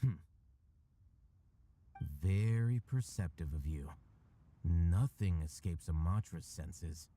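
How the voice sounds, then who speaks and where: An actor playing an aloof, sardonic male scholar in a video game, speaking calmly and precisely with dry irony. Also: deep voice, slow, long pauses, slight background noise. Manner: calm, dry, ironic, precise. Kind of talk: video game cutscene voice acting.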